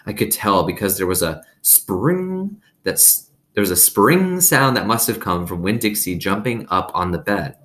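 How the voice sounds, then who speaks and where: Deep-voiced man reading a story aloud. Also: expressive, a little slow, few pauses, a bit bright. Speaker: read-aloud narrator. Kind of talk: expressive children's novel read-aloud.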